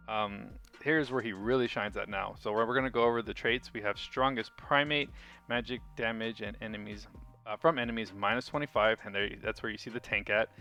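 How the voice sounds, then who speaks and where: Male gaming guide host, lowish voice, casual commentary with an informal, think-aloud delivery, music underneath. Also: gravelly, sharp tone.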